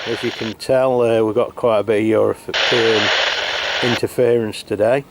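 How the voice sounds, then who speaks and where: A man's voice: on the low side, fairly even intonation, normal speed, heavy background noise. A man from England reviewing a radio outdoors on a cold, wet hilltop, talking casually with lots of fillers and restarts. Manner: chatty, full of fillers and restarts. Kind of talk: casual radio chat to camera. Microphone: handheld radio mic and camera.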